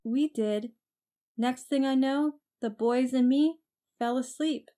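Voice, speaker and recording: Unhurried, high-voiced headstrong young woman played by an actress. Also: mellow. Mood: friendly, teasing. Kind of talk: amateur audio story acting.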